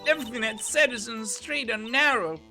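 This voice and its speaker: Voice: mid-range voice, fairly quick, gravelly, pushed and bright, soft background music, pitched above the performer's own voice. A game streamer voicing a cranky, fussy old woman security guard from a video game, scolding indignantly.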